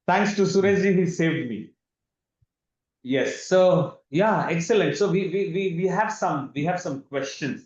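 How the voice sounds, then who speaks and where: A man's voice: high voice, lively intonation, takes it slowly. A man in his thirties from India, presenting a live online demonstration with enthusiasm and frequent repeated words over a video call. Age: 30s. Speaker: webinar presenter. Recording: echoey room, dull lo-fi sound.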